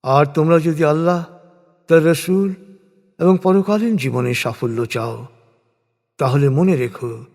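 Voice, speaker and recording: A man narrating translated scripture from a script in a solemn, formal, measured way with deliberate pauses. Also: fairly high pitch, darkish tone. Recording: long reverberant hall-like echo.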